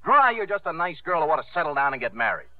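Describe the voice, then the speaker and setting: Through a thin, phone-like old radio broadcast comes a cocky young showman, fairly high in pitch and fast. His slangy, blunt talk swings up and down in a brash radio-drama style. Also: sing-song. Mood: brash, scornful. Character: brash, stubborn carnival showman and promoter, young man. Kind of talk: 1950s radio drama acting.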